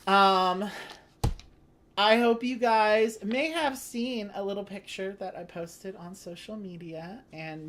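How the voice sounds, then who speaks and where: A woman's voice: medium pitch, fairly bright tone. A woman hosting a board game live stream, chatting casually to viewers.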